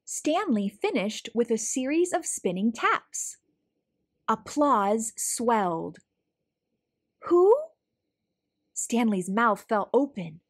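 A woman reading a children's story aloud with expressive, deliberate phrasing. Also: a little high, breathy edge. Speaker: storybook reader, host. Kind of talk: children's read-aloud narration.

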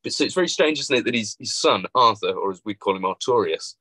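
A man's voice: fairly low pitch, expressive, fast, sharp tone, no room sound. A man as guest on a video call, explaining at length with "you know" fillers. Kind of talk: casual discussion on a video call.

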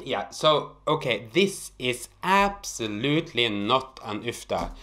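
A man in his thirties from northern Norway with a Norwegian accent, explaining an expression to camera in an animated, hesitant way, in a small apartment room. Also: medium pitch, takes it slowly. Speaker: host.

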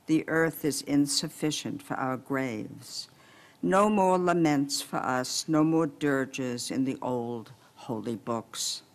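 An elderly woman recites a poem slowly and solemnly. She speaks into a podium microphone in a library meeting room, leaving each phrase plenty of time. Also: low voice. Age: 70s or older. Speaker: poetry reader.

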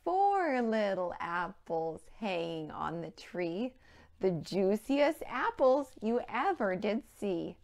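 Slow-paced woman presenting children's storytime. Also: medium pitch, sing-song, a little mellow. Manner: simple, clearly phrased address to young children. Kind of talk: children's storytime with rhymes and counting. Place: indoor room with playhouse backdrop.

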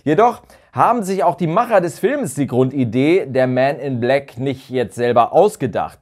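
Man reviewing in an animated, lilting voice. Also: fairly high pitch. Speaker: video reviewer, channel host. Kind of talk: semi-scripted review commentary to camera. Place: small furnished room at home, indoors. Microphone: lapel mic.

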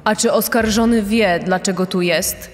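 A woman's voice: mid-range voice, steady tone, normal speed, natural voice. A woman playing a stern judge who puts formal, insistent questions to the accused. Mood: stern, probing. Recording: echoing hall reverb.

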